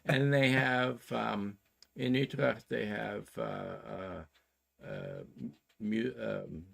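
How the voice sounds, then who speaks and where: A man in his sixties with a Canadian accent, chatting casually as a show co-host and explaining plans in a halting, methodical way. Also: lowish voice, vocal fry. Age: 60s.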